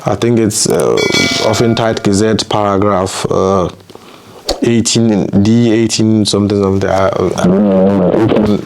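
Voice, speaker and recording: A man from Ghana, a guest in an interview, telling his story in an earnest, halting way and dropping in German terms. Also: lowish voice, fairly even intonation, darkish tone, a little hiss or hum, low-quality recording.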